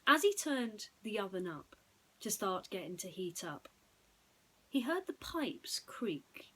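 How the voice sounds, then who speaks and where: A woman's voice: mid-range voice, big pitch swings, slow, fairly bright tone, husky, low-quality recording. A woman storyteller narrating a bedtime story in a dramatic, suspenseful way.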